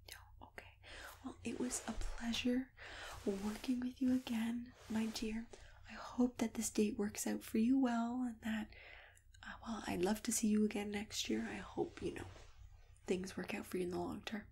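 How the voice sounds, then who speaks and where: A woman speaking softly and breathily, often near a whisper, in a gentle, reassuring ASMR roleplay as a friendly matchmaker. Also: fairly high pitch, flat delivery, slight background noise. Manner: gentle, reassuring, unhurried customer-service patter.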